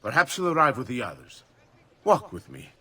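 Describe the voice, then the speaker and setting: An actor playing a cold, mocking conspirator in a video game, sarcastic and commanding. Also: male voice, mid-range voice, very animated, moderate pace, bright, forceful tone, breathy edge, full character voice. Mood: sneering. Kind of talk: video game cutscene acting.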